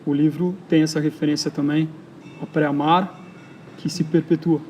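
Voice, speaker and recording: A man from São Paulo with a São Paulo accent, speaking as a poet interviewed in a park in a reflective, halting way full of hesitations. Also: fairly high pitch, level tone, a little mellow, a little hiss or hum. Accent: São Paulo Brazilian Portuguese. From São Paulo, Brazil. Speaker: poet and writer, interview guest. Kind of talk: casual interview conversation. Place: outdoors in a park.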